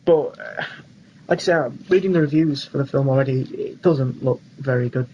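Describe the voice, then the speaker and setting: A man's voice: medium pitch, brisk, dark tone. A young man co-hosting a podcast, chatting casually about films in an easygoing, agreeable way. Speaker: podcast co-host. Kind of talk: casual film discussion. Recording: dull lo-fi voice track, faint noise.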